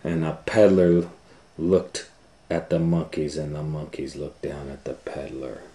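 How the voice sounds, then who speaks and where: Male storytime host, low register, unhurried pace, chatty read-aloud for young children. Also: little pitch movement, warm tone. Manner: conversational asides and questions to listeners.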